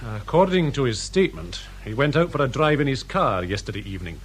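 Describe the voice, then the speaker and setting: A man's voice: mid-range voice, very animated, a little fast, a little hiss or hum, lightly acted. A man playing a dutiful, polite British police sergeant in a radio crime drama, deferential and matter-of-fact.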